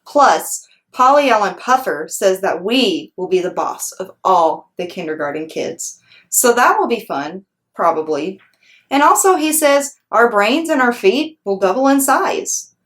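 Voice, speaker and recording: A woman voicing a chatty, excitable six-year-old girl telling her storybook tale in an emphatic, blunt, childlike way. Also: mid-range voice, measured pace. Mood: nervous, chatty. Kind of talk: children's storybook read-aloud.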